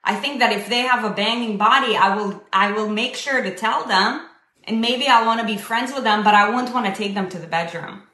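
A woman's voice: on the high side, a little fast, sharp tone. A young woman from Israel speaking casually and emphatically to a group, posing questions to her listeners in a conversational way. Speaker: speaker leading a community discussion. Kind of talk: casual motivational talk to a group. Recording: echoey room.

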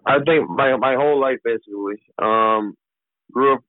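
A man's voice: medium pitch, moderate pace, a crowd in the background. A man in his thirties, a racehorse trainer, answering interview questions in a casual way with many restarts. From Barbados. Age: 30s. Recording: tinny phone line, dry.

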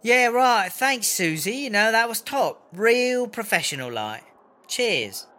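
A man's voice: sits high, big pitch swings, slow, pushed and bright, heavily acted. A man playing a brash, chatty, foul-mouthed prison inmate with a British accent in a comedy audio drama. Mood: pleased. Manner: rambling, slangy, sweary. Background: music.

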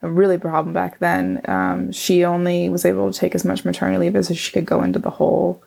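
A woman's voice: on the low side, fairly quick, vocal fry, warm tone. A middle-aged woman, a scientist guest whose first language was Chinese, answering in casual conversation, hesitant with many ums and restarts.

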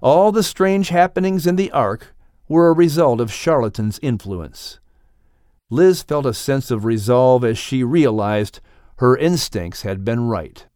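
A male narrator reads a dramatic animal tale for an audiobook. His pace is slow and measured, and the recording is dry and close, with little room around the voice. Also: medium pitch, expressive, a little mellow, smooth, dry recording. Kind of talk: scripted dramatic storytelling narration.

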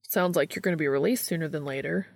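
An easygoing, caring boyfriend in an audiobook story, read by a woman in a low register with a creaky edge. The talk is casual, slangy and lightly joking. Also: fast talker, no room sound, studio quality. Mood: reassuring.

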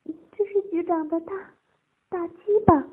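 Woman acting a high, trembling, pleading meek banker. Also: fairly even intonation, a little slow, narrow, tinny audio. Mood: flustered, breathless. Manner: trembling, breathy, soft pleading. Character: meek, flustered married banker, pleading and bashful.

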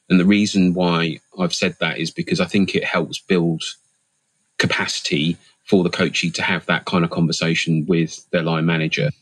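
Man, very low voice, dry close sound; considered guest answers, full of restarts. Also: fairly bright tone, no echo, low-quality recording. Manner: thoughtful, measured, with frequent fillers and restarts. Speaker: interview guest, HR leader and coach. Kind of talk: reflective interview answers.

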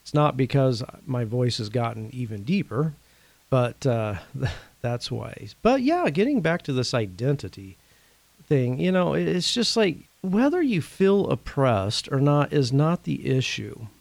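A man from Indiana hosting a casual faith-based talk, his voice a bit rough from a recent cold, speaking earnestly and conversationally. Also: medium pitch, very animated, measured pace, darkish tone, no room sound. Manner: earnest, conversational, laughs now and then. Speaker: podcast host.

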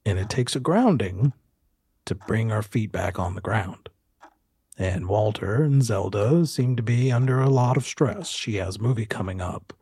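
A man acts out a film studio boss for a period audio drama. The voice is low, dark and slightly husky. He questions in a halting, deliberate way, courteous on top and quietly threatening underneath. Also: expressive, dry recording. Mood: smooth, patronizing. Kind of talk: 1920s-set audio drama roleplay.

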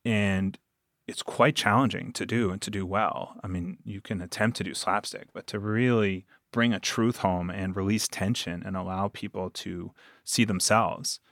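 Male interview guest, lowish voice, thoughtful, earnest, very dry close sound. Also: fairly even intonation, moderate pace, a bit bright, dry recording. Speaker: interview guest, audio drama producer. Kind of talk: reflective conversational interview.

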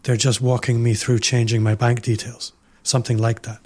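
A man hosting a podcast interview, talking in a reflective, measured way and building his points through repetition. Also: mid-range voice, little pitch movement, darkish tone. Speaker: podcast host.

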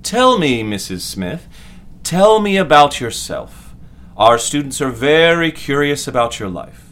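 A man's voice: a little high, very animated, unhurried, a bit bright. A man playing a pompous, patronizing doctor lecturing students formally in a 1940s-style audio drama. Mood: coaxing, patronizing. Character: pompous male doctor lecturing medical students, clinically smug.

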